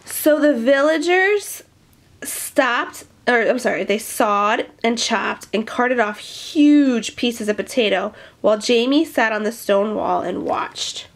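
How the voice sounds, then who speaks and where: A woman reading a children's picture book aloud, expressively, with animated asides to young listeners. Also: on the high side, slow pace. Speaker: storyteller.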